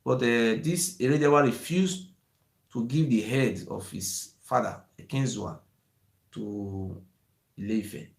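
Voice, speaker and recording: A man talks with rising passion in an echoey room. He keeps repeating himself, his voice sits at an ordinary pitch, and it often climbs toward a shout. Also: slow pace, creaky. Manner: excited, rising to near-shouting, repetitive "you see". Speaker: channel host. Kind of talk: impassioned monologue.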